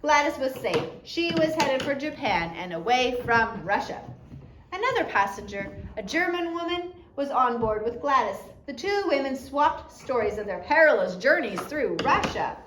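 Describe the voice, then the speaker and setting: A woman with a fairly high voice narrates a story from a book. Her reading is expressive and deliberate. The room adds a clear echo, and there is faint noise in the recording. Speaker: audiobook narrator, reader. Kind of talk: scripted storytelling read aloud from a biography.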